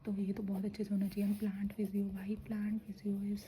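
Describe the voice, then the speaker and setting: Young woman, hushed whisper, sore throat, flat even delivery, faint room hum. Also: medium pitch, fast talker. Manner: hushed whispering, mixing Hindi and English. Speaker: student preparing for a medical entrance exam. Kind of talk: whispered study vlog talk.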